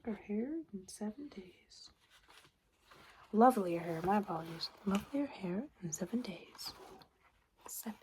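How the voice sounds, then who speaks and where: A woman speaking softly and breathily in an ASMR roleplay, often slipping into a whisper, chatty and a little hesitant. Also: medium pitch, measured pace, long pauses. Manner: hesitant, chatty, murmured reading aloud. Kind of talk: soft-spoken ASMR roleplay. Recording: noticeable background noise. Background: paper and tapping sounds.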